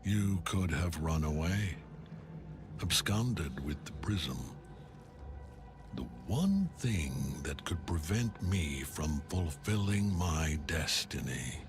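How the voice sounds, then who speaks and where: A grim older general sworn to a god of death, cold and brooding. His voice is very deep and he speaks slowly and deliberately, with loud music under the game scene. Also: very animated, exaggerated voice. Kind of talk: video game cutscene dialogue.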